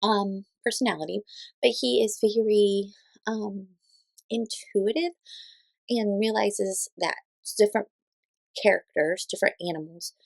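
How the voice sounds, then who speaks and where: Casual woman with a creaky, close voice. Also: medium pitch, steady tone, a little slow, dry recording. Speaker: parent reviewing children's read-aloud books. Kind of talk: casual book recommendation vlog.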